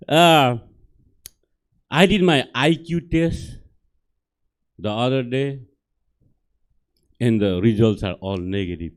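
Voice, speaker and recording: A man's voice: mid-range voice, takes it slowly, pauses often. A middle-aged man from Nepal with a Nepali accent, doing a stand-up set on a comedy theater stage in simple, broken English and keeps checking in with the crowd.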